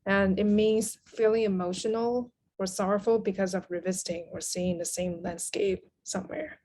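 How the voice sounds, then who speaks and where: A woman from Taiwan with a medium-pitched voice talks as an interview guest. Her delivery is casual and explanatory, like a translator walking someone through her work. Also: steady tone, moderate pace, a little mellow, a little hiss or hum.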